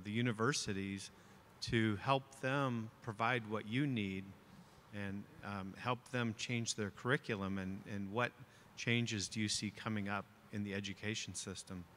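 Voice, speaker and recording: A man asks a business panel a measured question in a bright, forceful voice. The hall's long reverb and faint room noise sit behind him. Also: fairly low pitch.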